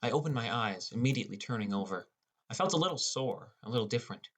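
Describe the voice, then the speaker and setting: A man's voice: medium pitch, lively intonation, fast talker, breathy edge. A man reading a first-person fantasy story as a scripted audiobook narration, in the role of a teenage gamer. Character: teenage boy gamer, gloomy and introspective. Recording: music under the voice. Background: prominent music.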